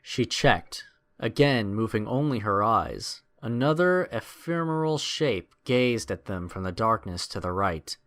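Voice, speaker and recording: A man's voice: on the low side, expressive, measured pace, a bit bright. A man narrating a scripted adventure story in a dramatic storytelling style.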